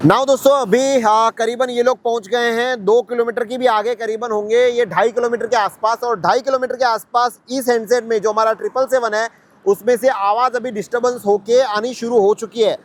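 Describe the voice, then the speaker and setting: A man's voice: very high voice, steady tone, moderate pace. A man hosting a product test vlog, explaining to viewers as friends and mixing in English words. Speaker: host testing walkie-talkie handsets.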